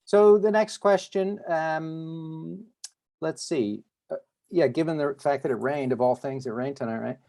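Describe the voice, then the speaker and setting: A man's voice: fairly high pitch, fairly even intonation, unhurried, gravelly, dark tone. A man interviewing a candidate in a loose, casual way, often tagging "right?" and "yeah" onto his questions.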